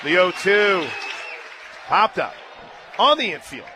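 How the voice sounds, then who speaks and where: A man calling a live softball game on radio from a stadium booth, climbing into excited shouts on big plays and laughing easily. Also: high-pitched, takes it slowly, a crowd in the background, noisy background. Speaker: radio play-by-play announcer. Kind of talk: live radio sports play-by-play.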